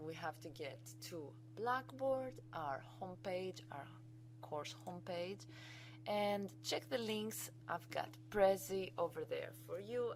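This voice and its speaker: A woman's voice: on the low side, a little hiss or hum, rough recording. A woman with a Greek accent, a native Greek speaker, giving an enthusiastic, chatty screencast tutorial as a college instructor.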